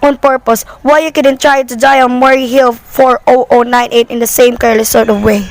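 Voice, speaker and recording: A woman's voice: a little high, steady tone, moderate pace, no echo, lightly acted. A woman playing an anxious, demanding, sickly wife in an amateur radio play, speaking in an agitated, imperious way. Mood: impatient, demanding.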